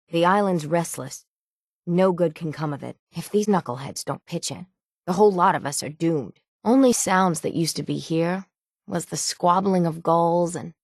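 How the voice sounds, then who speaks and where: An actress playing a weathered, determined harbor seafarer in a video game, gruff and dutiful, with salty, old-fashioned folksy phrasing. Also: female voice, low voice, no echo, studio quality, full character voice. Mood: foreboding. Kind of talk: video game character dialogue.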